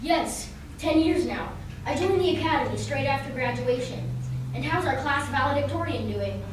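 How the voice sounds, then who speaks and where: An earnest radio-play police detective played by a woman, pitched high for the part. The voice rings in a very echoey hall, some distance from the mic. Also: lively intonation, normal speed, background noise. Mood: businesslike. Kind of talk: old-time radio drama acting.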